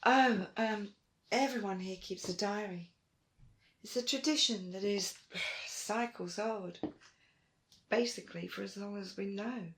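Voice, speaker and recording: A woman playing a proud, stubborn archaeologist in an audio roleplay, with emphatic, expressive delivery. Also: measured pace, pauses often. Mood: suspicious, determined. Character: Dune, proud, determined female archaeologist, stubborn and warm to friends. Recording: roomy, faint rumbling noise.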